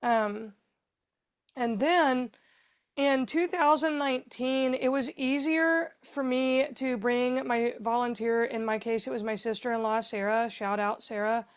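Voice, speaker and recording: A woman's voice: on the high side, fairly even intonation, measured pace, clean tone. A woman guest on a podcast interview, telling her story in a chatty, rambling way with lots of fillers. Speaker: guest, foundation founder.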